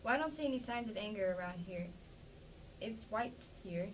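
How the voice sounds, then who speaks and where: A woman's voice: medium pitch, lively intonation, normal speed, close to an everyday voice. A woman playing a plainspoken, defensive farmer's wife in an amateur staged play reading. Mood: plain, musing. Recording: distant mic with faint background noise.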